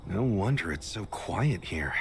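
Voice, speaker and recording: An actor playing a confident, wry male fighter in a video game, with a dry, casual, expressive delivery. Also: low voice, a little slow, gravelly, a little hiss or hum, full character voice. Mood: musing. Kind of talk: scripted video game dialogue.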